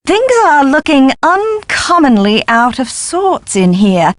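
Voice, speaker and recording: A woman's voice: sits high, sing-song, takes it slowly, dry recording, studio quality, heavily acted. An actress playing an eccentric, fussy female scholar in a video game, with a dramatic, flowery and emphatic delivery. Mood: conspiratorial.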